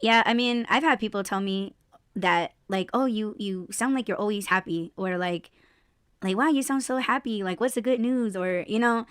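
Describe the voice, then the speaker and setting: A woman hosts a casual podcast chat, loud and quick to laugh, cursing freely. Her voice has little bass and sits at a middle pitch. The recording is dry and close. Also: smooth, no room sound. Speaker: podcast host.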